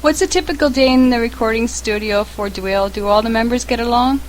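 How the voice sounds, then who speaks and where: Upbeat woman interviewer, a little high, over faint background noise. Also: fairly quick, poor audio. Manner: encouraging, upbeat. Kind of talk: interview questions.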